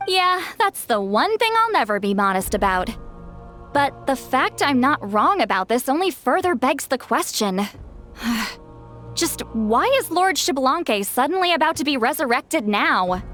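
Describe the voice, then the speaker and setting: A woman's voice: high voice, very animated, measured pace, fairly bright tone, faint music behind, full character voice. An actress playing a proud, fretful, easily exasperated shaman woman in an anime game, speaking bluntly. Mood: firm, troubled.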